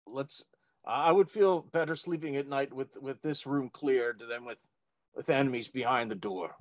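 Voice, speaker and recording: A man's voice: mid-range voice, normal speed, dry recording. A man playing a tabletop role-playing game, talking through plans with the group. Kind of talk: casual in-game discussion.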